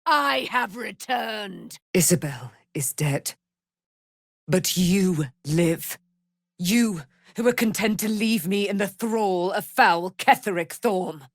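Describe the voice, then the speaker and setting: A woman's voice: mid-range voice, animated, slow pace, no echo, clean studio sound. An actress voicing a proud, righteous immortal warrior woman in a fantasy game, speaking in a grand, declamatory manner with archaic, formal diction. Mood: proclaiming. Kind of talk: fantasy video game character acting.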